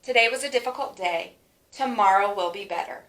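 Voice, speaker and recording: Woman as a kindly male schoolteacher, bright, roomy sound. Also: mid-range voice, a little fast, lightly acted. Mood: consoling.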